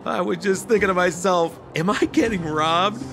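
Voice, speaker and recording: A man's voice: sits high, normal speed, vocal fry, music in the background. A man voicing a shifty, glib young con man in a video game, theatrical and full of exaggerated salesman patter. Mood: flustered, overfriendly.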